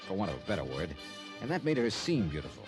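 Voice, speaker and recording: An actor playing a tough, wry American investigator, narrating drily in an old-time radio drama. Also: male voice, low-pitched, wildly swooping pitch, quick pace, exaggerated voice. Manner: dry, sardonic. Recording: vintage radio broadcast, music behind.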